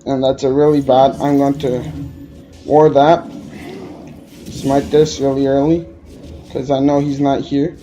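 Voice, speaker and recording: A man's voice: mid-range voice, flat delivery, a little slow, pauses often, mellow, faint music behind, rough recording. A man from Alberta with a Quebec French-tinged accent, rambling through gameplay commentary with many hesitations. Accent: Quebec French-tinged English. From Alberta, Canada. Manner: rambling, hesitant, lots of uh fillers. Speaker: gamer.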